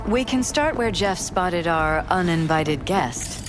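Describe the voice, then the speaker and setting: An actress playing a poised, skeptical, weary politician's wife in a video game, composed and dryly matter-of-fact. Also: female voice, on the low side, animated, takes it slowly. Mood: weary, candid. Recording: music behind.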